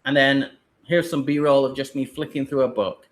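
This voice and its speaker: A British man talks his way through a live webinar for new online teachers, drifting and rambling with plenty of fillers. His voice sits at an ordinary middle pitch for a man, neither deep nor high. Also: fairly even intonation, moderate pace. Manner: loose, rambling explanation with fillers.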